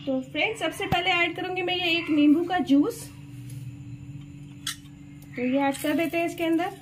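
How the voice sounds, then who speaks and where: High-voiced woman hosting a home cooking video. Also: normal speed. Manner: chatty, explains each step to viewers. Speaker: cooking channel host. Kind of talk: step-by-step cooking demonstration. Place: home kitchen. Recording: noisy home recording.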